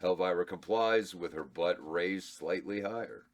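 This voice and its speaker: A man's voice: sits low, unhurried. A man reading stage directions aloud at a table read, laid-back and chuckling. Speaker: script reader and cast member.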